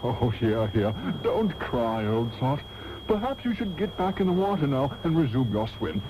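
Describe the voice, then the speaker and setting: A man playing a pompous, breezily selfish upper-class gentleman in a radio comedy. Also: medium pitch, big pitch swings, moderate pace, lightly acted. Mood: cheerfully callous. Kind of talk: comedic radio serial acting. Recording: dull, noisy old radio tape.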